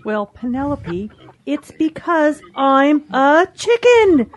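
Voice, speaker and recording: A fussy hen, played by a woman for a children's audio drama. The voice is very high and swoops up and down at an unhurried pace, with a matter-of-fact air. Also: sing-song, no room sound, heavily acted. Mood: dry, disapproving. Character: dry, fussy hen in a sweater.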